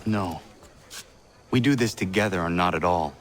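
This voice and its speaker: A man playing a blunt, low-voiced young assassin. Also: moderate pace, close to an everyday voice. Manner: blunt, bitter. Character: stern, grim young male assassin. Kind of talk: video game cutscene acting.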